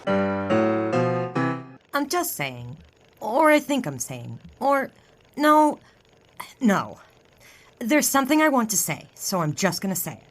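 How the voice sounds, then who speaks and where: An actress playing a bookish, precise young woman who quietly corrects others, in a period radio drama. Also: fairly high pitch, sing-song, takes it slowly, long pauses, faint music behind. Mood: flustered, hesitant. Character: brisk, precise, bookish young daughter of newspaper boss.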